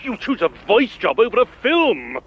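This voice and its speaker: An actor playing an exasperated, theatrical talent agent in a radio drama, indignant and wheedling by turns. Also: male voice, extremely high pitch, huge pitch swings, moderate pace, husky, muffled recording, heavily acted. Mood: wounded, indignant. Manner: melodramatic, indignant.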